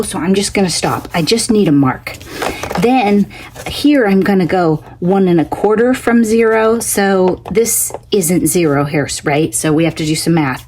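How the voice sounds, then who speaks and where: A woman hosting a casual crafting tutorial, scratchy from a cough, chatty and homey, thinking out loud as she works at her craft table. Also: lowish voice. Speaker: craft channel host.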